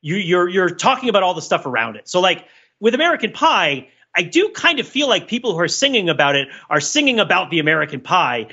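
A man's voice: high-pitched, pushed and bright, slightly rough, lo-fi sound. A man talking casually about pop culture as a podcast panelist, in a rambling, digressive and analytical way.

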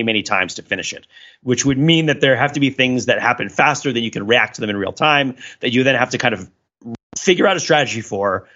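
A man's voice: medium pitch, sharp tone, lo-fi sound. A man co-hosting a podcast, explaining things in a casual, discursive way and often checking in as he goes. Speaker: podcast co-host.

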